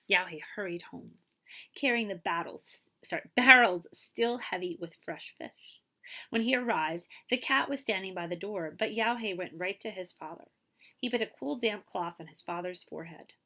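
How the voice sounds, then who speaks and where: A woman reading a children's story aloud in an expressive, animated way, as a librarian would. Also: mid-range voice, moderate pace. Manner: expressive, with commentary asides to listeners.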